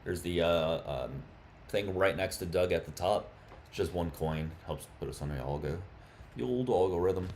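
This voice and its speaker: A man with a lowish voice runs a casual live stream, greeting viewers and taking callers. He talks in an easy, chatty way but gets heated and insistent when he argues. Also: moderate pace, a little mellow, a little hiss or hum. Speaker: livestream host.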